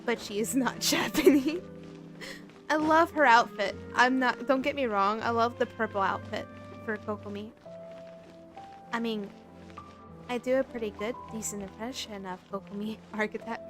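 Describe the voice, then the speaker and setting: A woman's voice: on the high side, moderate pace, long pauses, fairly bright tone, faint music behind. A woman game livestreamer chatting casually in commentary over her stream.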